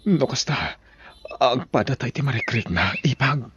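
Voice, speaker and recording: An actor with an Ilocano accent playing a soft-spoken, guilty brother-in-law secretly in love, in an audio drama. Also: male voice, mid-range voice, animated, a little slow, husky, muffled recording, heavily acted. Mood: guilty, confessing.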